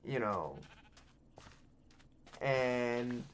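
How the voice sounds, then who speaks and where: A man's voice: medium pitch, expressive, drawn-out words, a little hiss or hum. Chatty young guy rambling. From Texas. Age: 20s. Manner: loose and chatty, frequent ums and likes, casual swearing. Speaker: gaming channel host. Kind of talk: casual rambling chat over gameplay.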